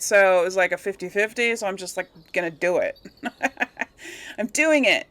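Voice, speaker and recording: Casting: female gaming host, average pitch, informal running commentary, talkative, thinks out loud. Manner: chatty, thinking aloud. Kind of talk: casual let's play commentary.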